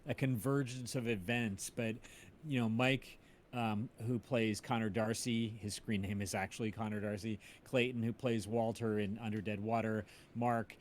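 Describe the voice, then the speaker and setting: A man chatting casually in an interview as an audio drama creator, telling animated anecdotes and often checking in with tag questions. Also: fairly low pitch, normal speed, a little hiss or hum. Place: indoors, on a group video call.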